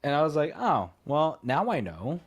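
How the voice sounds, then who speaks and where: A man's voice: on the high side, sing-song, normal speed, slightly rough. A man co-hosting a podcast, telling stories in a rambling, chatty way, often laughing. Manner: rambling storyteller, laughs often, stumbles and restarts. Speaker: podcast co-host. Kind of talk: casual chat.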